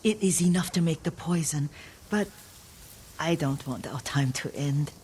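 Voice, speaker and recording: An actress playing a gentle, wistful elderly woman, a lord's devoted caretaker, in a video game, with a soft, breathy, reflective delivery. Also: low-pitched, slight background noise. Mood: wistful, fond. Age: older. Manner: slow, tender, reflective. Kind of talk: dramatic video game acting.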